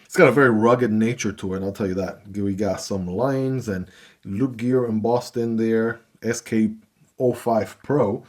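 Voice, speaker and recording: A man's voice: fairly low pitch, a little slow, a little mellow. A man casually talking through an unboxing and hands-on review of a gadget, easygoing and chatty with frequent fillers. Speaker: gear reviewer.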